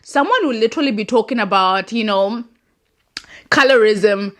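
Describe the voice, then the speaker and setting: A woman's voice: on the high side, moderate pace, fairly bright tone. A young woman, a non-native English speaker, hosting a chatty, rambling commentary and laughing at her own points. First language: not English. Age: young adult. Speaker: host. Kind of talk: casual commentary monologue.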